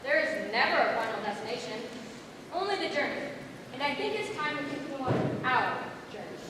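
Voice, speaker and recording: A woman's voice: sits high, very animated, brisk, distant mic. A woman playing an upbeat traveler in a school stage play, in a noisy echoey hall. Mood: teasing, resolute. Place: school stage, recorded from the audience.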